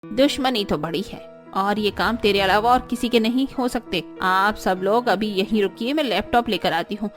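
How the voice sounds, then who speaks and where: A woman's voice: mid-range voice, normal speed, faint music behind, close to an everyday voice. A woman voicing a haughty, quarrelsome middle-aged mother-in-law in a cartoon story, scolding and boastful. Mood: angry, accusing. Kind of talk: Hindi cartoon story voice acting.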